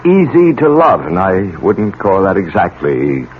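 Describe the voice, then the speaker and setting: An actor playing a mild, educated middle-aged professor in a 1970s radio drama, speaking formally and haltingly. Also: male voice, low-pitched, huge pitch swings, heavily acted. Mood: stiff, defensive. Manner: halting, repeats words, formal diction. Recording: tinny, noisy 1970s radio broadcast recording.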